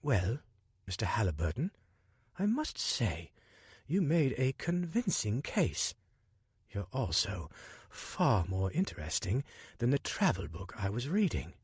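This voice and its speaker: A man's voice: mid-range voice, wildly swooping pitch, measured pace, slightly rough, no room sound, voice pushed up, heavily acted. A man voicing a curt, prim older woman traveler with an English accent. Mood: warming.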